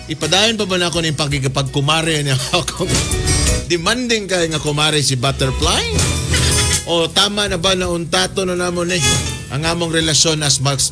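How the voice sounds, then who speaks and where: A male radio host with a fairly high voice reads a letter expressively. Loud music plays behind him throughout.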